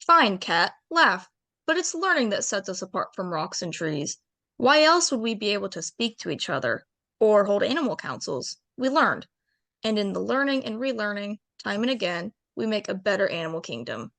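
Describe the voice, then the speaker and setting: A woman voices a heartfelt female bear addressing a council of animals in a homemade radio play. Her earnest, pleading voice rides a lively, wide-ranging pitch and drops into gravelly low notes. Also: normal speed. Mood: impassioned. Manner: earnest, pleading speech-making. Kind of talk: amateur radio drama table read.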